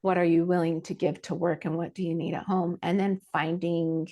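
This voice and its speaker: Earnest woman coach with a lowish voice. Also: little pitch movement, normal speed, warm tone. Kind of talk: conversational advice.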